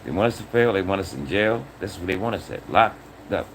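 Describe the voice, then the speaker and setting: A man's voice: low-pitched, expressive, brisk, a little hiss or hum, low-quality recording. A man in his sixties talking casually to his livestream chat, earnest and repeating his points, often ending phrases with "man". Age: 60s. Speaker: livestream host.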